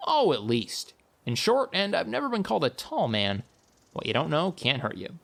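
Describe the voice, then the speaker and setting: A woman's voice: low-pitched, wildly swooping pitch, normal speed, creaky, voice pushed up, full character voice. A woman voicing a carefree, theatrical uncle who travels for adventure and talks in a playful, wordy, mock-grand way. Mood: grandly reassuring.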